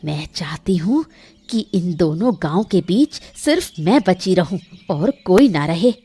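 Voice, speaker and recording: A woman voicing a spiteful, scheming old village woman in an animated moral story, speaking with bitter drama. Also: on the low side, measured pace, breathy edge. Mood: vengeful.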